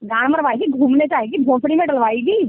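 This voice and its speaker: Very high-pitched scolding woman on phone. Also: fairly quick, no room sound. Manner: foul-mouthed, threatening, scolding. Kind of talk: heated argument on a phone call. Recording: tinny, narrow-band phone call recording.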